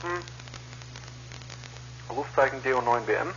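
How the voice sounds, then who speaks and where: Bright, sharp-voiced man on lo-fi radio. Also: mid-range voice, animated, measured pace. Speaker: amateur radio operator. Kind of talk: casual two-way radio chat. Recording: lo-fi ham radio link with hiss.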